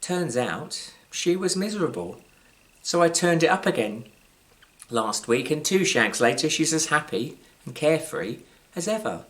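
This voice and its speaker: A British man reads his own short story aloud in a measured, wry way, with deliberate pauses and varied emphasis. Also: a little high, very animated, a bit bright. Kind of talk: scripted literary storytelling, live reading.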